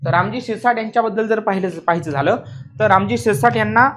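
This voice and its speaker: A man's voice: sits high, quick pace, a bit bright. A man with a Maharashtrian accent explaining exam questions like a classroom coach, repeating key points emphatically and often checking that students follow. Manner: repetitive, emphatic, frequently checking understanding with questions.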